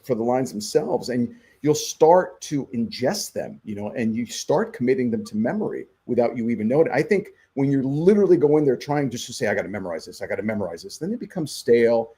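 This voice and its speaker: A middle-aged man with a husky edge and a lively, swooping delivery. He talks earnestly about his craft, peppering it with "man" and "you know.". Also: medium pitch, a little fast, hardly any pauses. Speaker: actor and musician guest. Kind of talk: casual interview chat.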